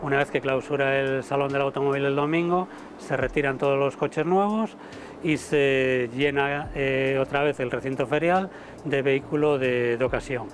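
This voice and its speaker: A man in his fifties, a motor show director, speaking to camera in a halting way with many fillers and repeated words, recorded outdoors on a lapel mic. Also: mid-range voice, a little hiss or hum. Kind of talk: interview to camera. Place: outdoor terrace above a city port.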